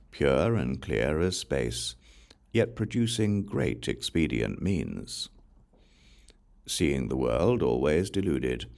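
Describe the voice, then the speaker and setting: Really deep creaky guy reading scripture audiobook style, solemn n measured, careful pauses between phrases. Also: a little mellow. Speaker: audiobook reader.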